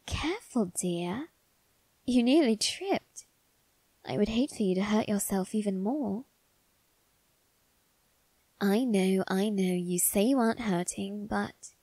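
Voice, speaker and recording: A woman's voice: medium pitch, dry recording. A woman voicing a suave, doting young male vampire aristocrat in an ASMR roleplay, speaking to the listener in a genteel, coaxing, faintly sinister way. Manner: genteel, coaxing, softly condescending.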